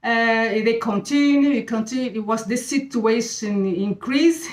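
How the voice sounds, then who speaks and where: A middle-aged woman from Brazil with a Brazilian Portuguese accent, hosting her channel and sharing a faith talk in halting English, often pausing with fillers as she searches for words. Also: fairly high pitch. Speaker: channel host. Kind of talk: casual faith talk to camera. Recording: echoey room.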